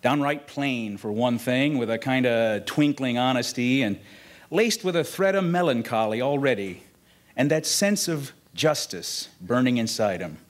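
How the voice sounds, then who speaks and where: An actor playing a folksy, sincere film star guesting on 1940s radio, plainspoken, reflective and unhurried. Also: male voice, mid-range voice, lively intonation, lightly acted. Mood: reflective, warm.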